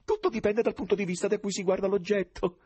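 A man's voice: sits high, fairly even intonation, quick pace, dark tone, slightly rough, no echo, voice pushed up. A man voicing a skinny, fawning, unflappable stranger with a tremulous tenor and gushing chatter, in an audiobook. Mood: gleeful, effusive. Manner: gushing, wheedling, exclamatory.

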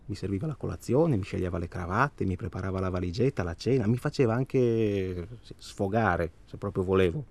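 An actor playing a nervous, chatty middle-aged husband in a naturalistic radio drama, hesitant and rambling, repeating himself and seeking agreement. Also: male voice, low voice, lively intonation, brisk, gravelly, hardly any pauses, darkish tone, full character voice. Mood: confiding, wry.

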